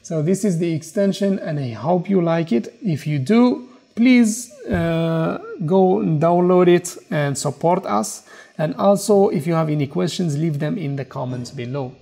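A man walking through a software tool in a screen-recorded tutorial, explaining step by step in slightly non-native English. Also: a little high, lively intonation, a little slow, gravelly. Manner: explanatory, repeats "okay" and "as you can see". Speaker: tutorial presenter.

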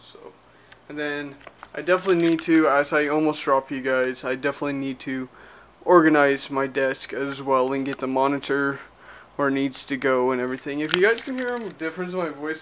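A man's voice: a little high, background noise. A young man vlogging casually from a small cluttered spare bedroom, rambling with lots of fillers, speaking into a handheld camera held at arm's length as he walks around. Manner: rambling, filler-heavy, loose and chatty. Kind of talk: casual vlog chat. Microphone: handheld camera at arm's length, moving around.

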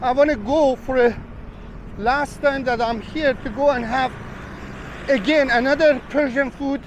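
A man's voice: extremely high pitch, fairly even intonation, a little slow, lo-fi sound. A man talking casually to viewers while walking a rainy street, rambling and chatty, calling them guys. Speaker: travel vlogger. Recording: street traffic noise behind.

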